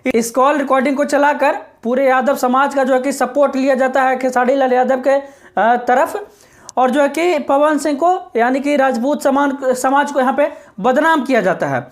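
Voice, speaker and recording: Female commentator, high voice, informal online news explainer, insistent and repetitive. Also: moderate pace. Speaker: social media commentator.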